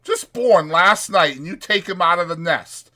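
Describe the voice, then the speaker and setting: A man voicing an exasperated but protective ranch hand in a dramatized audiobook, blunt and scolding, with a rough folksy drawl. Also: high-pitched, expressive, normal speed, bright, forceful tone, voice pushed up, exaggerated voice. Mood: scolding, firm. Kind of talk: dramatized audiobook character acting.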